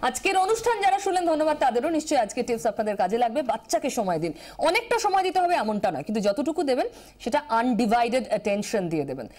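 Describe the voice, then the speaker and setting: A woman with an Indian Bengali accent hosting a live TV talk show, brisk, stepping in and summing up. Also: fairly high pitch, very animated, darkish tone. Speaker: television host.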